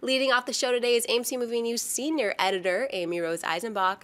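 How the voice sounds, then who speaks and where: A woman's voice: fairly high pitch, fairly even intonation, fast talker, fairly bright tone. A woman working as a show host, delivering a scripted introduction.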